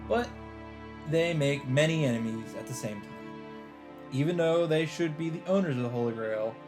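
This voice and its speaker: A man reading a visual novel aloud as a let's player, earnest and expressive, mixing dramatic narration with casual commentary. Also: on the high side, moderate pace. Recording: background music under the voice. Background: game music.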